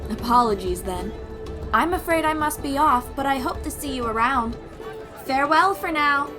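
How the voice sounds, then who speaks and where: A woman's voice: sits high, expressive, moderate pace, pitched above the performer's own voice. A woman voicing a hearty, eager female sea captain with an exuberant, projecting delivery in a fantasy audio roleplay. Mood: cheerful farewell. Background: music.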